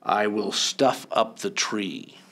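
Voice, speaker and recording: A man reading a storybook aloud, voicing a sly, thieving, holiday-hating creature with a slick, deliberate delivery. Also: fairly low pitch, expressive, vocal fry, pushed and bright, a little hiss or hum, voice pushed down, full character voice. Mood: gloating.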